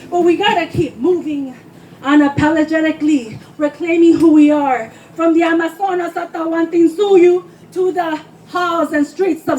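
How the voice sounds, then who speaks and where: A woman's voice: very high-pitched, darkish tone, a little hiss or hum, low-quality recording. A woman poet giving an impassioned, emphatic spoken word performance at a live reading, declaiming her lines. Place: live poetry reading before a responsive audience.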